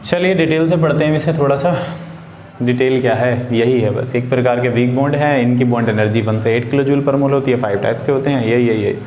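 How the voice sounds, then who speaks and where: A man's voice: medium pitch, brisk, a little mellow. Indian male teacher in an echoey room. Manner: repeats key phrases, quizzes listeners with questions. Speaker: science teacher. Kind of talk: informal board lecture for students. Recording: echoey room with faint background noise.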